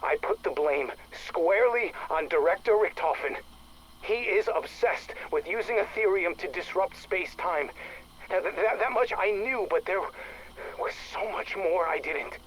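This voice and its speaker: An actor playing an anxious, bitter project specialist leaving a recorded testimony in a game, halting and stammering in agitation. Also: male voice, sits high, animated, fairly bright tone. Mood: bitter, accusing. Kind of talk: recorded audio log monologue. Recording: noisy audio log tape.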